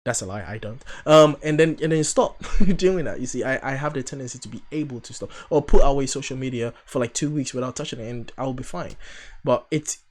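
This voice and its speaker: A man's voice: mid-range voice, fairly quick. A man in his thirties from Ghana, hosting a reaction video in a chatty, rambling, playfully sarcastic way. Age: 30s. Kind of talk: casual reaction commentary.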